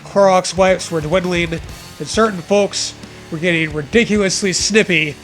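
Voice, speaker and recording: A man's voice: high voice, steady tone, a little slow, a bit bright. A young man casually reading fan fiction aloud and riffing on it in an amused, jokey way, with some swearing. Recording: music playing behind the voice. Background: background music.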